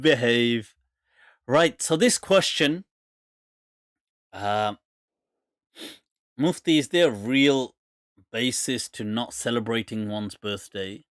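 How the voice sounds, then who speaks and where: A man's voice: medium pitch, no room sound. Chatty man in his forties, dry sound. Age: 40s. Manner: chatty, laughs often, relaxed asides. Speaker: host answering viewer questions. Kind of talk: casual live Q&A.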